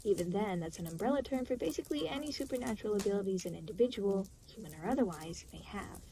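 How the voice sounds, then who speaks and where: A woman's voice: medium pitch, lively intonation, fast talker, crowd noise, close to an everyday voice, low-quality recording. A woman playing an aloof, reluctant, weary demon guide in an intimate audio roleplay, speaking in a dry, matter-of-fact, hesitant way. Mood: dry explaining. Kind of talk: intimate scripted audio roleplay spoken to the listener.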